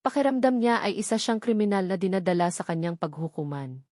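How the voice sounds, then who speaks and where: Female Filipino storyteller, mid-pitched, close dry sound, scripted dramatic romance narration. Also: fairly quick, mellow, no echo.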